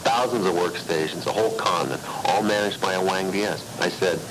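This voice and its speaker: A man's voice: sits low, brisk, over music, rough recording. A man delivering a scripted first-person radio testimonial in punchy short phrases. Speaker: radio ad spokesman.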